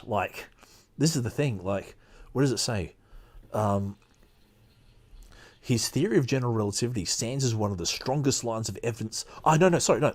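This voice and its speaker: A man with a fairly low voice hosts a podcast, moving between reading aloud and casual commentary. His delivery is halting and emphatic, like someone thinking through an argument as he talks. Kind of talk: casual commentary mixed with read-aloud passages.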